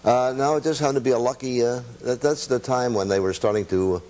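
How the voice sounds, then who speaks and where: An older man, a veteran radio guest, chatting casually and reminiscing in a halting, self-deprecating, anecdotal way. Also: medium pitch, fairly even intonation, a little mellow.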